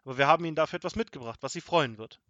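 A man's voice: on the high side, very fast, a bit bright, no echo, lo-fi sound, natural voice. A man voicing a cheerful, confident boy hero in a children's storybook. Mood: proud, eager.

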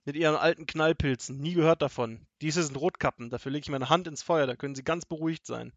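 A man voicing a gruff, greedy robber in a storybook, barking orders and snarling threats. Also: a little high, fast, a bit bright, no room sound, lo-fi sound. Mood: dismissive. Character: gruff, bullying, greedy adult male robber.

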